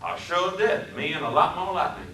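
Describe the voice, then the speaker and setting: Man putting on a bigoted Deep South drifter. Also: expressive, normal speed, reverberant, distant mic, slight background noise, rough recording. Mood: zealous. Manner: drawling, boastful, turns to shouting. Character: hateful young Southern male hitchhiker, bigoted and hot-tempered.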